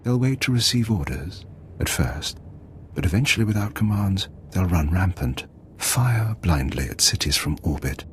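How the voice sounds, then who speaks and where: An actor playing a bitter, grandiose revolutionary leader in a video game, speaking in deliberate, theatrical speeches. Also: male voice, sits low, lively intonation, slight background noise. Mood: defiant.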